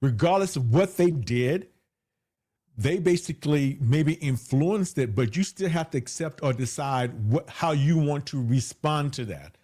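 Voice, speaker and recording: A man's voice: a little high, animated, measured pace, warm tone. A man being interviewed as a guest, who says he has a big voice, speaking emphatically and repeating phrases for stress. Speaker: guest, hypnotherapist. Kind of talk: conversational interview.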